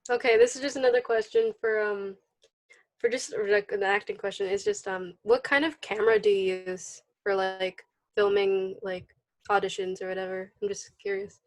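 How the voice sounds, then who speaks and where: A woman with a medium-pitched voice asks casual questions as a student in an online class. She is tentative, feeling her way haltingly through each phrase. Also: steady tone. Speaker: acting student.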